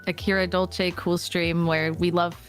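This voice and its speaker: A woman's voice: fairly low pitch, level tone, a little slow, faint music behind. A woman co-hosting a casual livestream chat, bubbly and laughing often, swearing casually. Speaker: guest co-host.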